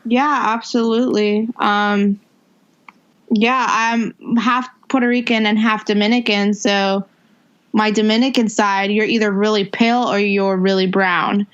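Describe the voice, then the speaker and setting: A woman guest on a podcast, chatting in a relaxed, casual way with plenty of fillers. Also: mid-range voice, a little slow, smooth. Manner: relaxed, filler-heavy talk. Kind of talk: casual conversation. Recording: dull lo-fi audio.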